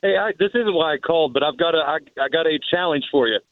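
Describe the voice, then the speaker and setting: A man's voice: fairly high pitch, fast talker, dry recording. A man calling in to a radio show over the phone, chatting and telling stories casually. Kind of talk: casual storytelling and chat. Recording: tinny dry phone line.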